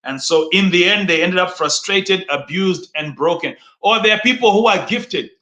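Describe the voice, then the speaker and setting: A man's voice: sits high, moderate pace, sharp tone. A man preaching in an instructive, motivational style, speaking emphatically and often posing questions that he answers himself. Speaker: preacher and teacher. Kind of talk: motivational sermon teaching. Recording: echoey, roomy sound.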